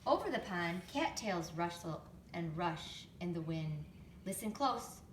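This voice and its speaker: A woman reading a picture book to young children expressively, pausing to explain things and ask them questions. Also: fairly low pitch, lively intonation. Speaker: storytime reader, teacher to young children. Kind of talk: picture book read-aloud with chatty asides. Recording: roomy, distant mic, faint background noise.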